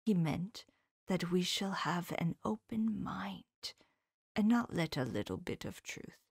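A woman doing a hushed, grave old professor. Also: lowish voice, vocal fry, breathy edge, dry recording, studio quality, heavily acted. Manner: hushed, often whispered, slow and solemn; old-fashioned formal phrasing. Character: elderly male professor, grave, tender and sorrowful. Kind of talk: audiobook character voice.

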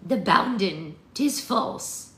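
A woman's voice: medium pitch, lively intonation, fairly bright tone, voice pushed up. Woman voicing a cryptic old rustic woman, roomy sound. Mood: anxious. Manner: archaic dialect, riddling, slow urgent delivery. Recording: roomy, faint background noise.

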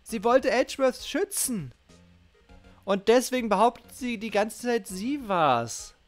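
A man's voice: extremely high pitch, animated, a little slow. A middle-aged man giving casual game commentary on a headset, musing incredulously as he goes. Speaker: streamer. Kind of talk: casual game commentary, reading dialogue aloud. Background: game music.

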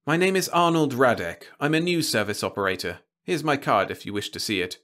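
A man's voice: mid-range voice, expressive, brisk, a bit bright, pitched above the performer's own voice, close to an everyday voice. A man voicing an earnest, determined male news operator who talks in an urgent, insistent way. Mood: tense.

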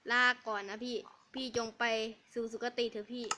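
A man's voice: very high-pitched, flat delivery, normal speed, voice pushed down. A man playing an earnest young man pleading to free a ghost in an amateur game skit, with dramatic delivery. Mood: solemn farewell. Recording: noticeable background noise.

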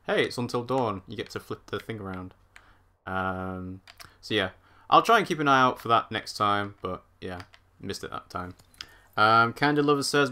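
A man commentating live on a game he plays, chatty and thinking out loud. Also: mid-range voice. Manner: chatty, thinks out loud, hums while walking. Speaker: streamer. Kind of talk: live let's-play commentary.

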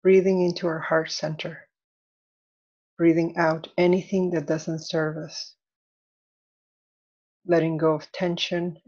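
A woman leading a guided meditation as an instructor, soothing and deliberate, in measured phrases with long pauses. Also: low-pitched, a little mellow, lo-fi sound.

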